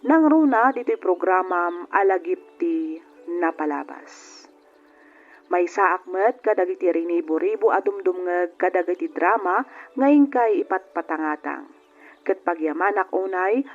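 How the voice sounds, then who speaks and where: Music plays under a dull, lo-fi radio narration. An actor voices a humble man telling his own story in a formal, measured manner. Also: high-pitched. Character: humble male letter sender Victor telling his life story. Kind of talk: scripted radio drama narration.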